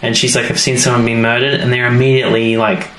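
A man's voice: medium pitch, level tone, fast talker, crowd noise. Man chatting casually in an echoey room. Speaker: podcast co-host recapping a TV episode. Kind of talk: casual chat, episode recap. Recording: roomy echo, faint background noise.

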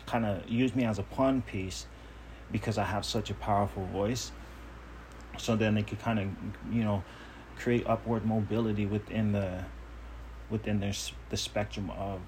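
A man's voice: fairly low pitch, darkish tone, a little hiss or hum, poor audio. A man talking on his own podcast in a casual monologue, earnest, self-assured and emphatic. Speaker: podcast host.

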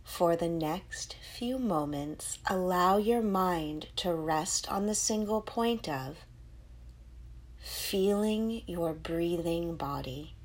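Slow-speaking woman guiding meditation. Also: lowish voice. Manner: soothing, unhurried instruction with pauses between short phrases. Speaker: meditation guide and podcast host. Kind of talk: guided meditation.

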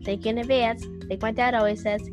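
Female ad read, bright and scripted, with clear music behind her. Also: on the high side, level tone, fast talker. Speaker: ad speaker. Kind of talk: read-out charity book ad.

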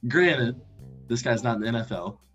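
A man's voice: medium pitch, animated, normal speed, a bit bright. A man hosting a podcast, chatting casually and debating sports with friends. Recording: slightly dull audio, faint music underneath.

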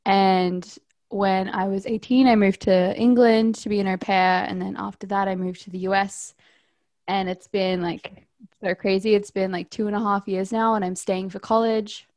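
A woman's voice: medium pitch, little pitch movement, moderate pace, darkish tone. Laughy young woman. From Australia. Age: 20s. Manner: laughy, upbeat, lots of "like". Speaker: podcast co-host. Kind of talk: casual chat. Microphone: phone call.

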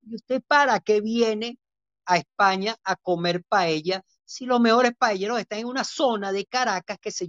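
A man's voice: high-pitched, a bit bright, no room sound. Proud Venezuelan-accented man, dull dry sound. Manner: proud, emphatic, lecturing. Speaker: guest chef. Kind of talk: interview talk.

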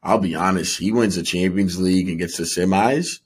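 A man's voice: low-pitched, normal speed, husky. A man co-hosting a sports podcast, giving emphatic, slangy opinions in casual football chat.

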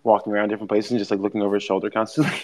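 A man co-hosting a casual online chat show, talking loosely, swearing freely and jumping into excited quoted voices. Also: fairly low pitch, rapid-fire. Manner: animated, swears freely, puts on excited voices when quoting. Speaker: podcast co-host.

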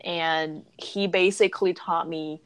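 A woman in her thirties from China, a guest English teacher, talking in an unhurried, thoughtful way with frequent fillers. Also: fairly low pitch, steady tone, clean tone. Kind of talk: casual interview chat.

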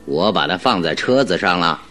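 A man's voice: low-pitched, lively intonation, normal speed, background noise. A man acting in a radio drama as a confident, teasing master detective, with a theatrical, self-assured delivery. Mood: amused, teasing.

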